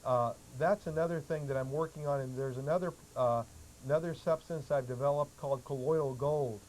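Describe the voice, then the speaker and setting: A man speaking as a talk-show guest, explaining health remedies in a halting, matter-of-fact way. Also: mid-range voice, darkish tone. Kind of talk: explanatory talk-show answers, some reading aloud. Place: public access television studio. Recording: noisy old public access TV audio.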